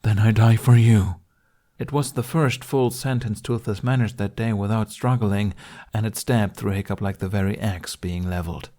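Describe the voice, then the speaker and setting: A man's voice: fairly low pitch, steady tone, normal speed, a little mellow. A man reading a dramatic adventure audiobook as its narrator, in a scripted storytelling style.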